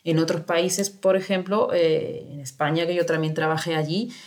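A woman's voice: on the low side, flat delivery, moderate pace. A woman speaking as a guest in a casual interview, explaining at length with fillers and tag questions.

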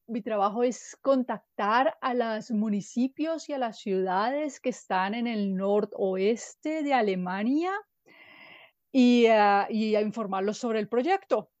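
A woman from Colombia, a guest from a nonprofit, answering interview questions in a measured, explanatory way with fillers and restarts. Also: on the high side, a little mellow, dry recording, lo-fi sound. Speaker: guest from an NGO.

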